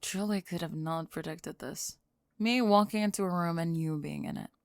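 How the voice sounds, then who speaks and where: A woman's voice: on the low side, vocal fry, dry recording, clean studio sound. A young woman acting out a prickly, flustered goth girl student with a secret crush in an ASMR roleplay, sarcastic and defensive. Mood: aloof, defensive. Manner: sarcastic, defensive, halting and stammering when flustered. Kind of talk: ASMR roleplay acting, one-sided dialogue.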